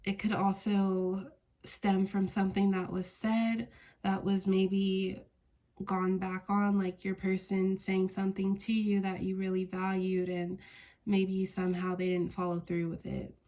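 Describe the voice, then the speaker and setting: A woman's voice: mid-range voice, monotone, measured pace, warm tone. A woman with a congested, stuffy voice giving a casual tarot reading as host of her channel, wandering through her thoughts and correcting herself as she goes. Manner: meandering, searching for words, self-correcting. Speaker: tarot card reader.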